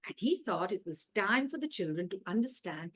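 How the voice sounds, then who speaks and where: A woman with an Indian accent reading a children's story aloud in a measured, expressive way, with dramatic emphasis and pauses. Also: mid-range voice, breathy edge.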